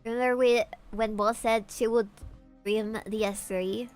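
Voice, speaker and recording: Young woman, native Spanish speaker, higher pitch, animated casual game-stream chatter, faint music behind. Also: a little slow. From Peru. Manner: chatty and animated, swears freely, reacts to chat.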